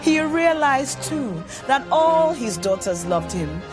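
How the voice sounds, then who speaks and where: A woman narrates a story in a deliberate, dramatic style. Her fairly high voice moves with lively, wide intonation at a slow pace, with music clearly underneath. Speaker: story reader. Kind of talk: expressive scripted storytelling narration.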